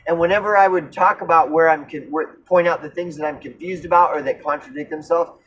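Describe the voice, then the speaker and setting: A man of fifty on an unscripted personal rant, earnest and insistent, halting and repeating himself as he circles back mid-sentence, casually profane. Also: on the high side, steady tone, fairly bright tone, husky, muffled recording. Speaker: recovery vlogger.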